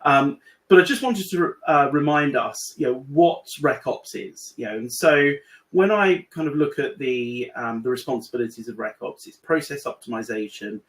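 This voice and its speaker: A man's voice: mid-range voice, a bit bright, rough recording. A British man from the UK, presenting as a guest expert on a webinar, talking with lots of restarts and repeated words. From United Kingdom. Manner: halting, many repeated words and fillers. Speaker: guest speaker on AI in recruiting. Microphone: video call.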